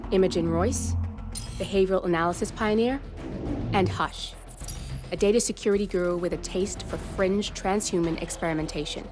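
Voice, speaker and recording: Actress as streetwise hacker woman, lowish voice, blunt and sardonic, game cutscene w music behind. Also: normal speed, natural voice. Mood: briefing, dry. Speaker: hacker ally. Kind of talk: cinematic video game acting.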